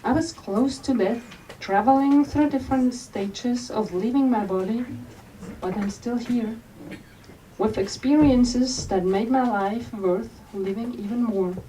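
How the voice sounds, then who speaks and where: A woman from Switzerland, reading aloud from her memoir at a bookstore event in a gentle, unhurried storytelling manner. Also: on the high side. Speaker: author. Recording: distant mic with faint room noise.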